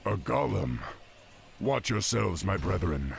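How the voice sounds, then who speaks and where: An actor voicing a young male orc shaman war chief, grave and proud, with a gravelly, guttural delivery, in a fantasy game. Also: low-pitched, sing-song, takes it slowly, slight background noise, exaggerated voice. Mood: wary, grave. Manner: solemn, commanding. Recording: game audio under loud music.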